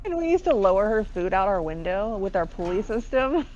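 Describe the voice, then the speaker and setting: Rambling woman with a fairly deep voice. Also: sing-song, brisk, a little mellow, highs cut off, slight background noise. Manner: rambling storyteller, laughs often. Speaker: co-host. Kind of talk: casual chat over gameplay.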